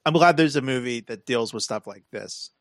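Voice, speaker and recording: Halting man in a dry, close recording. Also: mid-range voice, no echo. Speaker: podcast co-host. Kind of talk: casual film discussion.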